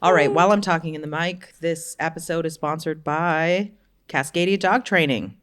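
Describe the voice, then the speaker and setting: Low voiced woman on a chat podcast, blunt, swears a lot. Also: normal speed. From Canada. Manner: blunt, swears, reads sponsor spots. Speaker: podcast co-host. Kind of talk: casual chat.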